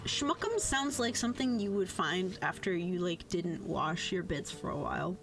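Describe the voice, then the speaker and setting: A woman's voice: fairly low pitch, animated, normal speed, a bit bright. A woman livestreamer chatting casually and reading out chat, playful, theatrical and sweary, with lots of slang. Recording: background music under voice. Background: music.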